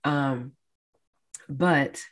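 A woman's voice: a bass voice, drawn-out words. Congested, nasal young woman podcaster. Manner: chatty, addresses listeners as y'all, frequent ums. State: congested, stuffy nose. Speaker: podcast host. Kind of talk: casual monthly check-in monologue.

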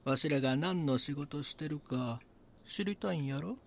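An actor with a Kansai accent playing a brazen, scheming small-time swindler in a voice drama, talking in a rough, conspiratorial, boastful way. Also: male voice, on the high side, moderate pace, a little hiss or hum. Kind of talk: voice drama acting, true-crime reenactment.

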